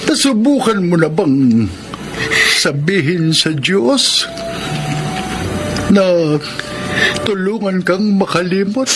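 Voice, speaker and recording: High-voiced, gentle, fatherly older man, played by an actor; music under. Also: lively intonation, takes it slowly, long pauses. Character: kindly older man, reformed, gently counseling. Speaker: Tate Alfredo, elderly neighbor. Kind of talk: radio drama acting. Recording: radio drama with clear music bed.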